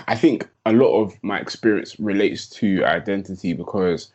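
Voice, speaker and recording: Laid-back young man, low voice. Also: normal speed. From London, England. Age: young adult. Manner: laid-back, slangy, laughs often. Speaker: podcast guest. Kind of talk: casual chat.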